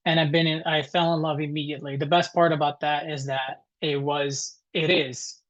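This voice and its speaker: A man in his thirties with an Italian accent, presenting informally to a webinar in a halting, conversational way. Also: a little high, level tone, a bit bright. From Italy. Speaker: guest presenter, dental technician. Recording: dull, muffled webinar audio.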